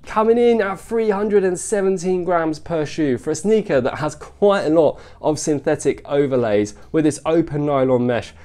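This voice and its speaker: Stuffy-nosed dad reviewing trainers, mellow dark tone, keeps saying really really nice. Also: male voice, a little high, normal speed, hardly any pauses, soft background music. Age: adult father. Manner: upbeat, repeats "really, really nice". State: congested, bunged-up nose. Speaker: sneaker reviewer. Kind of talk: product review vlog.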